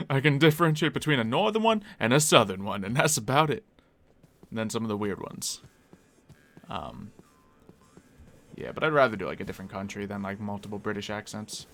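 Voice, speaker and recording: A man's voice: lowish voice, animated, normal speed, pauses often, fairly bright tone, soft background music. A man with a slight Philadelphia accent chatting casually on a live stream while he plays, musing aloud and laughing easily.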